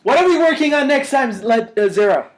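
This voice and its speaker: A young man co-hosting a podcast in casual fan chat, excitable and joking. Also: very high-pitched, a little fast, reverberant. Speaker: podcast co-host.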